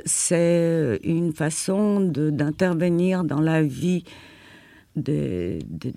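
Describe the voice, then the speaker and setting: Older woman, non-native French, former journalist looking back; gravelly, halting delivery. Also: low voice, darkish tone, dry recording. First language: not French. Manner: hesitant, many fillers and repeated words. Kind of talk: reflective interview answers.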